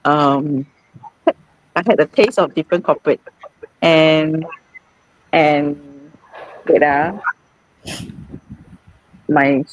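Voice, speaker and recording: A woman hosts an informal live stream, speaking haltingly and often rephrasing as she goes. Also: low-pitched, dry recording, poor audio. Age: 40s. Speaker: host.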